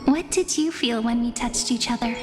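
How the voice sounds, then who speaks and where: A woman voicing a gentle, wistful young woman of memories in a video game, with a soft, tender, storybook delivery. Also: a little high, normal speed, natural voice. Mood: tender wonder. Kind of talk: scripted video game voice acting. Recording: long reverb, loud music behind.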